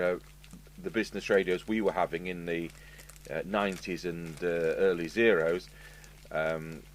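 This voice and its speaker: An English man with a fairly low voice chats through a hands-on demonstration. He is unscripted and rambling, often wandering into asides. A light hum and some noise sit behind him. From United Kingdom. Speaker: video host and radio repairer. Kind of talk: casual unscripted talk to camera while demonstrating. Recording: steady hum and background noise.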